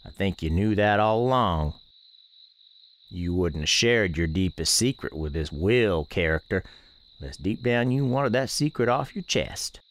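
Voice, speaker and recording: A man narrating a radio play as a gentle country storekeeper, with folksy, colloquial phrasing. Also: low-pitched, expressive, a little slow. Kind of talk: first-person storytelling in a radio play.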